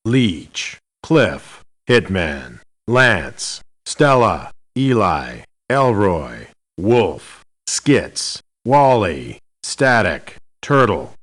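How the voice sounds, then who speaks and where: A demanding, self-important soldier of fortune from a video game. His low voice is hard-edged and swoops widely, with a measured pace. He is blunt and clipped, easily indignant. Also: sing-song, a bit bright, heavily acted. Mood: flat roll call. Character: cocky, demanding elite male mercenary for hire. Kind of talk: video game character voice lines.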